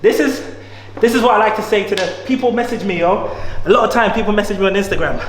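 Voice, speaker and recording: A man's voice: high voice, fast, a little hiss or hum. A man in his thirties, a guest speaking in a casual conversation with an emphatic, slangy, motivational way of talking. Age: 30s. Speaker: guest, entrepreneur. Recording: echoey room with long reverb.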